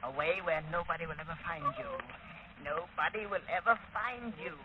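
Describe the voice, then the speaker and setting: Creepy male abductor actor, high voice, quietly threatening, vintage radio melodrama, hissy old recording. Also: moderate pace, close to an everyday voice. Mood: soft menace. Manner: sinister, menacing. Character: creepy menacing male kidnapper preying on young women. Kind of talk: 1930s radio acting. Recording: muffled, noisy 1930s radio recording.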